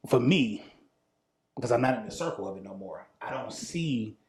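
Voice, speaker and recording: In a roomy, echoing recording, an American man with a mid-pitched voice conducts an interview. His questions come in casual, colloquial conversation. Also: expressive, moderate pace, darkish tone. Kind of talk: casual street-level interview chat. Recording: echoey room sound.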